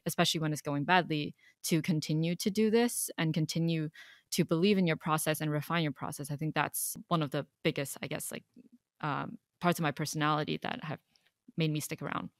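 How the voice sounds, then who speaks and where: A woman's voice: deep voice, steady tone, brisk, no room sound, clean studio sound. A woman in her twenties from Hong Kong, working as an analyst, answering interview questions thoughtfully with frequent fillers.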